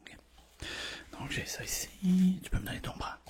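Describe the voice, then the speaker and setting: A man whispers gently and reassuringly, playing a doctor in an ASMR roleplay, with a breathy voice and softly repeated words. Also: normal speed. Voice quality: breathy whisper mixed with soft, creaky low voice.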